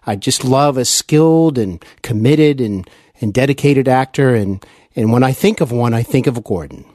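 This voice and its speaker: A man's voice: medium pitch, normal speed, mellow, dry recording, clean studio sound. A man hosting an interview podcast in an earnest, courteous and effusive manner. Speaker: podcast host.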